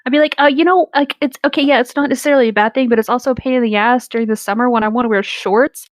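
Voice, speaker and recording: A woman's voice: fairly high pitch, very fast, no room sound, clean studio sound. A woman chatting casually with friends, telling candid stories with plenty of swearing. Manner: candid, animated storytelling with swearing. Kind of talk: casual chat among friends.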